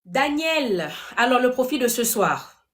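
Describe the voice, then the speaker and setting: A woman's voice: on the high side, very animated, unhurried, fairly bright tone. A woman hosting a casual panel show, often repeating and rephrasing as she puts questions to her guests. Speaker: host of a web talk show. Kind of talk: casual panel discussion, asking guests for opinions.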